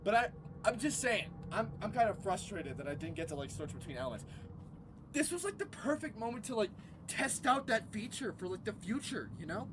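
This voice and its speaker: A gamer giving let's play commentary, excitable, ranting at the game and making laser sound effects. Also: mid-range voice, brisk, background noise. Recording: voice over loud game music. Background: game music.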